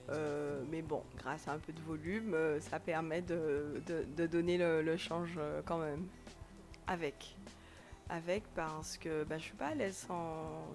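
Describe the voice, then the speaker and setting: A woman in her late thirties, a TV editor and presenter, answering interview questions with frequent hesitations and restarts. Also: lowish voice. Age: late 30s.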